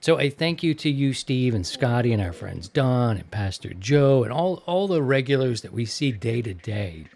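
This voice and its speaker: A man's voice: medium pitch, expressive, moderate pace, creaky, hardly any pauses, darkish tone. A man in his early fifties hosting a hobby radio show in a casual, candid and chatty way. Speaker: host of a ham radio show. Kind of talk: casual podcast chat.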